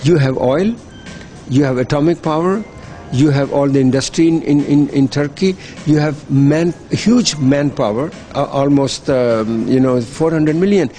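A man's voice: a little high, measured pace, mellow, faint music behind. A middle-aged man, giving earnest, persuasive interview answers as an NGO worker, often repeating words as he restarts. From Pakistan. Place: hotel.

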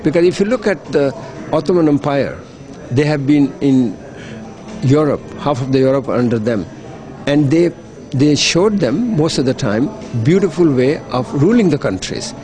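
A man's voice: fairly high pitch, animated, normal speed, a little mellow, faint music behind. A middle-aged man, giving earnest, persuasive interview answers as an NGO worker, often repeating words as he restarts. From Pakistan. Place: hotel.

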